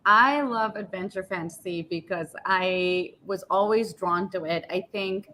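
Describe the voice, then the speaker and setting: A woman's voice: mid-range voice, unhurried, sharp tone, a little hiss or hum, low-quality recording. A woman in her thirties from India, an author chatting on a panel, enthusiastic and thoughtful with frequent "like" and "um". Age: 30s. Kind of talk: casual panel talk.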